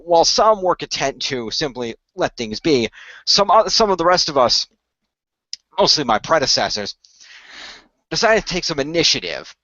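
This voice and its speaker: A man playing an earnest male leader who recruits heroes in a radio play, explaining things in a halting, deliberate way. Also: medium pitch, fairly bright tone, no room sound, dull audio, close to an everyday voice. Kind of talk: improvised radio play dialogue.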